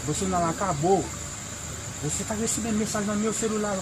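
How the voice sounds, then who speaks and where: A man's voice: sits high, quick pace. A man with a Haitian accent publicly confronting someone, speaking emphatically and insistently and repeating his statements. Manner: emphatic, repetitive. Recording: noisy outdoor crowd recording. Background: crowd and ambient noise.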